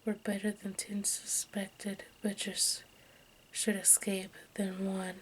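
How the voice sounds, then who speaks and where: Slow, whispering woman reading ASMR. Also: mid-range voice. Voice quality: breathy unvoiced whisper. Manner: halting, stumbling read-aloud delivery. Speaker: host of an ASMR channel. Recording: steady background noise, room ambience.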